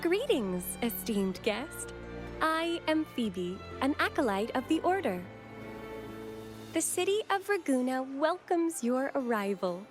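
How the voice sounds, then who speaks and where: A woman playing a gentle young acolyte of a religious order in a video game, speaking politely and formally in measured phrases. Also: high voice, very animated, heavily acted. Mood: courteous, welcoming. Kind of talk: scripted video game voice acting. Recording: game music behind the voice.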